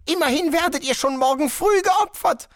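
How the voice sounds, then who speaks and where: A man voicing a calm, polite guest master who calmly announces dreadful things, in an audiobook. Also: very high-pitched, no echo, clean studio sound, voice pushed up. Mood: smoothly menacing. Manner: courteous, unhurried, casually sinister. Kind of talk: audiobook character voice.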